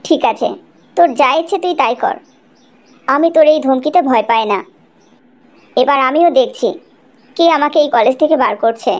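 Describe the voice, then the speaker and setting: A woman's voice: high-pitched, moderate pace, long pauses, darkish tone, natural voice. A woman voicing a proud, defiant young college student in cartoon dialogue.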